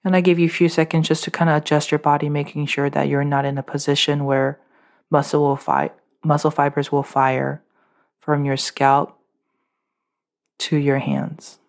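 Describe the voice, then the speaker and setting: A woman leading a guided muscle relaxation meditation, giving calm, unscripted instructions with small fillers. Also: sits low, normal speed, gravelly.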